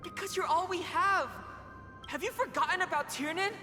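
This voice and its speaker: High, anxious young follower. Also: female voice, moderate pace, pushed and bright. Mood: anxious pleading. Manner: pleading, worried. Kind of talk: scripted game drama. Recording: long reverb under game music.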